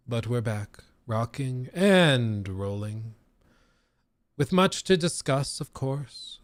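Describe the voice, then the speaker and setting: Lively, slightly wheezy male streamer. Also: medium pitch, dry recording. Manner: rambling, animated, lots of fillers and restarts. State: slightly wheezy and short of breath. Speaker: streaming host. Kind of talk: casual live-stream chat.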